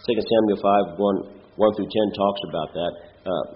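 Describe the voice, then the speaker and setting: A man with a head cold and a nasal, congested voice gives an informal sermon as a lay preacher, in a halting, plain-spoken way. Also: fairly low pitch, steady tone, highs cut off. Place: church, speaking before a congregation.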